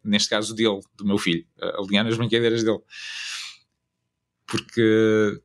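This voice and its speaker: A man's voice: lowish voice, little pitch movement, moderate pace. A man talking casually in an interview as a father. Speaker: father interviewed about parenting.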